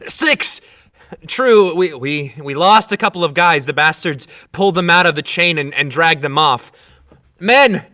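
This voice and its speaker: Bright, high-voiced rowdy protester played by a man. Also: exaggerated voice. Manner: excitable, halting, coarse. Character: fervent, rowdy young male soccer fan turned protester. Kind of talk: dramatic monologue.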